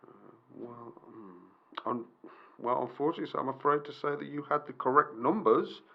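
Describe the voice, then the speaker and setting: A man's voice: medium pitch, moderate pace, creaky, natural voice. Slightly dull-sounding, formal actor as a male clerk. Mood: formal, apologetic. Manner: formal, hesitant, apologetic. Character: polite, apologetic male lottery customer service clerk. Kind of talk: amateur radio play acting.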